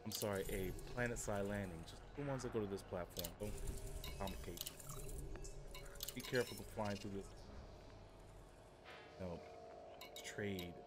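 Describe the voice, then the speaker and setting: A man giving casual, halting commentary as he livestreams a game and walks viewers through it, thinking aloud. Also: lowish voice. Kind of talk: casual gameplay commentary and walkthrough. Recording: clearly noisy home stream audio.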